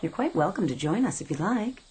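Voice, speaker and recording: An actress playing a gentle, playful, dreamy woman passenger in a radio drama. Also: on the low side, big pitch swings, fairly quick, a little hiss or hum. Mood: bright, airy.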